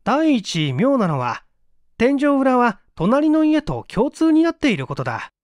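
A man's voice: very high voice, huge pitch swings, measured pace, dry recording, clean studio sound, pitched above the performer's own voice, full character voice. A man voicing a smug, teasing amateur detective who boastfully explains his tricks in a mystery audiobook. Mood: musing, excited. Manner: taunting, self-satisfied, explanatory. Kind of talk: dramatic audiobook reading of a mystery story.

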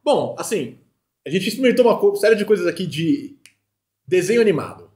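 A man's voice: sits high, big pitch swings, a little fast, a little mellow. A middle-aged Brazilian man hosting a casual food-tasting chat in slangy speech, at a desk microphone in a small studio. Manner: slangy, conversational. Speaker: host. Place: small indoor video studio at a table. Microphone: desk microphone between two people.

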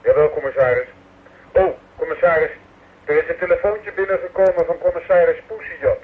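Actor as a high-voiced police subordinate, tinny. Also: male voice, brisk, lightly acted. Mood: deferential, eager. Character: deferential male police desk subordinate. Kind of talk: radio play acting. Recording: tinny telephone-like radio drama sound.

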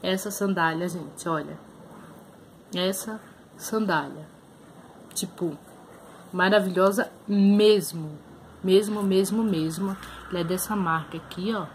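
Young brazilian woman showing off bargain clothes, chatty and excited, close webcam, bit of hiss. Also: on the low side, takes it slowly, pauses often, rough recording. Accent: Brazilian Portuguese. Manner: chatty, shows items up close, many fillers. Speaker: vlogger. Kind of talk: casual haul video chat. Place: small room indoors.

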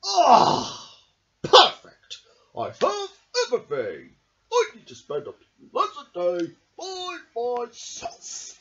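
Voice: mid-range voice, huge pitch swings, a bit bright, full character voice. A storybook reader voicing a grumpy, weary bear who wants to be left alone, exasperated and drawling. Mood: contented. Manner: exasperated, drawn-out, groaning and sighing. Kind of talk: picture book read-aloud character voice. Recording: dull lo-fi home recording.

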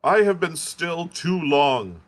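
A man's voice: mid-range voice, slow pace, fairly bright tone, voice pushed up. A man voicing a half-mad male curate who rants and wails like a preacher. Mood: raving. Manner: fervent wailing sermon-like raving.

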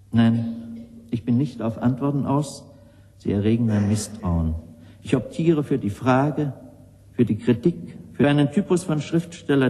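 A man with a lowish, mellow voice delivers a prepared speech in a reverberant hall. He phrases deliberately in short, emphatic clauses.

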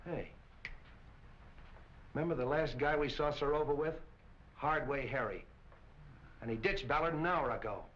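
A man's voice: on the high side, moderate pace, long pauses, a bit bright, close to an everyday voice. An American man playing a middle-aged, short-tempered police captain in a 1940s film, barking orders impatiently. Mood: businesslike. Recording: muffled, noisy old 1940 film soundtrack.

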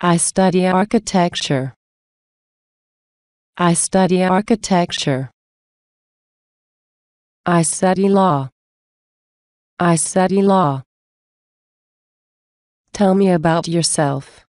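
A woman reading English example phrases for a language lesson, saying many of them twice in a measured way. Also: on the low side, darkish tone, no room sound, clean studio sound. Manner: measured, repeats each phrase slowly. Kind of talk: slow scripted phrase reading.

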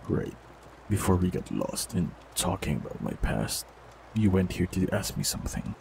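A man performing a scripted fantasy audio roleplay, speaking calmly and gently with soft teasing, close to the mic. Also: deep voice, normal speed, creaky, background noise. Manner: calm, gentle, softly teasing.

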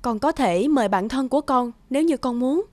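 A woman voicing a gentle, patient stepmother-to-be in a cartoon. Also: sits high, lively intonation, fairly quick, dry recording. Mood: gentle, pleading. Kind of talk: cartoon voice acting.

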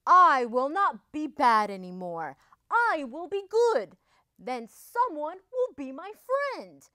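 Casting: woman playing earnest storybook big bad wolf gone good, high, sweet and slow. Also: big pitch swings, sharp tone, no echo, studio quality, exaggerated voice. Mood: hopeful, resolved.

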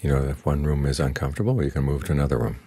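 Man, older, very deep voice, husky, dry close sound, slow calm meditation talk. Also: dry recording. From United States. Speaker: Buddhist monk teacher.

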